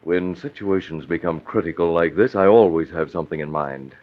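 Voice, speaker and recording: An actor playing a confident, calculating publisher and masked vigilante in an old radio drama. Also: male voice, low voice, brisk, natural voice. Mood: confident, decisive. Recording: muffled, faintly noisy old radio recording.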